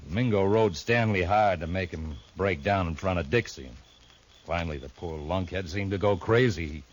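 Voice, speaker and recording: A man's voice: low-pitched, normal speed, muffled recording, natural voice. An actor playing a nervous, defensive saloon henchman in a 1950s radio western. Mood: confessing. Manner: defensive, blustering then confessing. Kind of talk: 1950s radio drama acting. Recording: faint hiss of an old radio recording.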